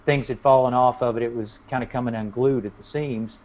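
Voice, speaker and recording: A man's voice: medium pitch, fairly even intonation, fairly quick, darkish tone. A man chatting casually as a musician guest in an interview, telling anecdotes in a rambling way. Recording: dull, lo-fi audio.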